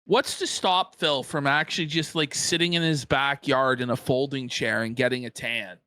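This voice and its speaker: A man's voice: mid-range voice, fairly even intonation, moderate pace, sharp tone, clear, resonant tone, no echo, clean studio sound. A man co-hosting a commentary show, sarcastically mocking a streamer and swearing freely. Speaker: co-host.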